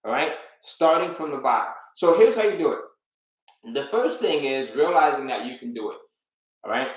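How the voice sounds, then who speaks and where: A man's voice: mid-range voice, lively intonation, moderate pace. A man teaching a live online business webinar, speaking with energy and emphasis and asking his viewers frequent rhetorical questions. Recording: very echoey room.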